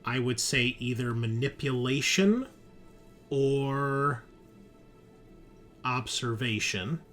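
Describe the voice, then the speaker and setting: A man narrating a tabletop roleplaying game as game master, in an informal, halting way. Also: mid-range voice, a bit bright. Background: music.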